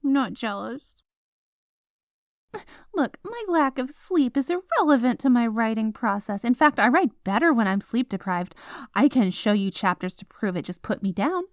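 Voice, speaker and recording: A woman's voice: fairly high pitch, expressive, moderate pace, no echo, clean studio sound. A woman playing a stubborn, sleep-deprived writer girlfriend in an ASMR roleplay, rambling with dramatic, indignant exclamations. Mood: indignant, pleading.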